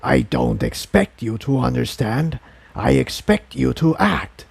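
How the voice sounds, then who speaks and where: A man's voice: mid-range voice, wildly swooping pitch, unhurried, vocal fry, darkish tone, slightly rough, no room sound, heavily acted. A man voicing a sinister, impatient entity that hisses commands inside a dragon's head in a story reading. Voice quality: hissing, whispery.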